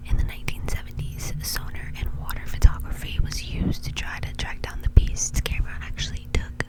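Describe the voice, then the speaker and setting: A woman's voice: brisk, rough recording. A woman doing whispered ASMR storytelling in an informal, conversational way, close to the microphone. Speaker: ASMR video creator and host.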